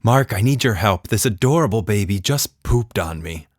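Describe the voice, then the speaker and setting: A man's voice: fairly low pitch, animated, normal speed, dry recording, studio quality. A man voicing a harried but patient mother of young children in a children's story.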